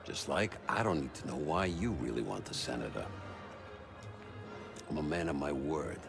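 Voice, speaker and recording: An actor playing a smug, wry spy fixer with a gravelly voice and a dry, knowing delivery in a video game cutscene. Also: male voice, very deep voice, expressive, moderate pace, full character voice. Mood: wry, businesslike. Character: smug, wry old-school spy fixer doing shady deals. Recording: loud music behind the voice.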